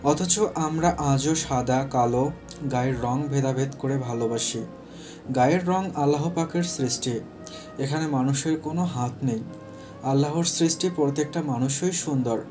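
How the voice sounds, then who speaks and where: A man reads a scripted love story as its narrator. His pitch sits in the middle range for a male voice, and music plays clearly behind him.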